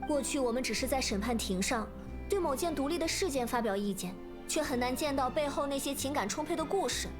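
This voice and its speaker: A woman playing a proud, theatrical young female former stage star in a video game, with an expressive, dramatic way of talking. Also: high-pitched, quick pace, over music. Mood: guarded, reflective. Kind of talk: Chinese video game voice acting.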